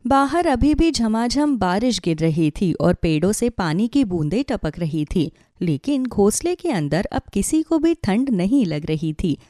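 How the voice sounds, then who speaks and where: A woman's voice: mid-range voice, big pitch swings, a little slow, few pauses, mellow, no echo, clean studio sound. A woman narrating a scripted children's story.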